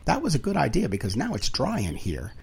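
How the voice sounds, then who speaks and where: A man's voice: medium pitch, wildly swooping pitch, vocal fry, husky. Man reading a wry literary audiobook. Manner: dramatic, wry, deliberate phrasing with emphatic swells and hushed drops. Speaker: audiobook narrator.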